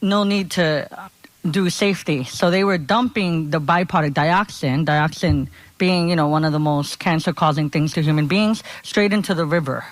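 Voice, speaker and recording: A woman's voice: deep voice, moderate pace, no room sound, low-quality recording. A woman from Honduras giving a conversational talk at a conference as a community organizer, often checking in with her listeners.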